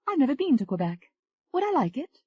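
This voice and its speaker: A woman voicing an eager, flirtatious Englishwoman, raising her pitch well above her own voice. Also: very animated, a little fast, dry recording. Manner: bright, eager, teasing.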